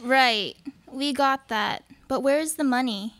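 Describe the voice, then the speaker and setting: Casting: female student as probing investigator, fairly high voice, plain delivery, faint noise. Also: measured pace. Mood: businesslike. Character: businesslike detective questioning a captured thief. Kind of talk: live student radio play.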